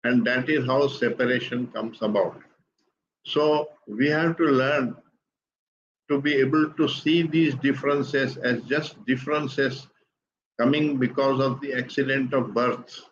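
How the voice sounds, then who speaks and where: An older man from India with an Indian English accent, giving a calm, deliberate explanation as a guest speaker. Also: mid-range voice, dull audio, slight background noise. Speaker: guest speaker on spiritual teachings. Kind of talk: measured explanatory talk.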